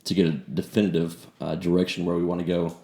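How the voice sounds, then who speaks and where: A man's voice: deep voice, little pitch movement, quick pace, warm tone. A man hosting a podcast, chatting in an easygoing, informal interview style. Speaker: podcast host. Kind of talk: casual interview chat. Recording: echoey room with faint noise.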